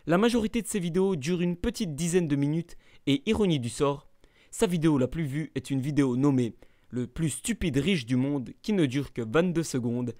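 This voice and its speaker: A man's voice: a little high, expressive, moderate pace, darkish tone. A man narrating a scripted ranking video, adding playful mock-dramatic asides.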